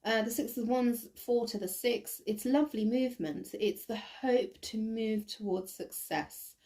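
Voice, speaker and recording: A woman with a croaky voice doing a casual tarot reading to camera, thinking aloud with many ums and restarts. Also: fairly high pitch, a little slow, darkish tone. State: croaky, recovering from bronchitis. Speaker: tarot reader.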